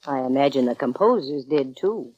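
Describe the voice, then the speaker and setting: A woman's voice: very deep voice, expressive, normal speed, mellow, husky, no echo, heavily acted. A woman playing a wry, self-assured young private detective in 1940s radio drama style, with dry, breezy delivery. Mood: wry, businesslike. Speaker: lead actress in radio detective series. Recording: dull vintage radio recording.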